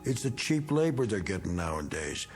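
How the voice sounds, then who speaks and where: An actor playing a self-pitying, bigoted middle-aged war veteran who drinks, rambling in folksy slang in a 1960s television drama. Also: male voice, on the low side, very animated, normal speed, slightly rough, soft background music. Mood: resentful.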